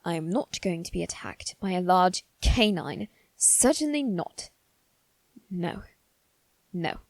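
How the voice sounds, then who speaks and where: A woman voicing a haughty, anxious young noble boy in a halting, formal monologue for dramatic ASMR roleplay. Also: lowish voice, expressive, no room sound, full character voice. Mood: panicked, insistent. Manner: halting, formal, self-reassuring delivery.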